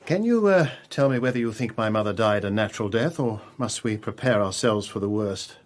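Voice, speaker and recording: An actor playing a blunt English country gentleman with an upper-class accent and clipped manner, in a radio drama. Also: lowish voice, expressive, brisk, natural voice. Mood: troubled. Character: well-bred, blunt English country squire, bereaved son.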